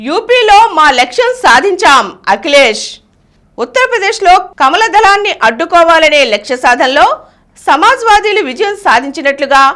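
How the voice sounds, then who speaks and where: A woman's voice: high voice, fairly bright tone. A woman reading scripted news items and headlines as a news reader.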